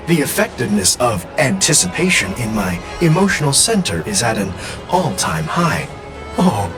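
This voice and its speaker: An actor voicing a literal-minded male robot who talks in stiff, mechanical phrases. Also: mid-range voice. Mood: earnest. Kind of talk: game voice acting.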